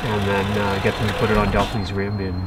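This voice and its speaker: A man's voice: lowish voice, completely flat delivery, fast. A middle-aged Canadian man narrating a casual travel vlog outdoors, holding the camera at arm's length and chatting in an easygoing way. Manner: easygoing, chatty, laughs easily. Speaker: vlogger. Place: outdoors, on a lake and by a roadside. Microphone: handheld camera at arm's length. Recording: outdoor camera audio with clear background noise.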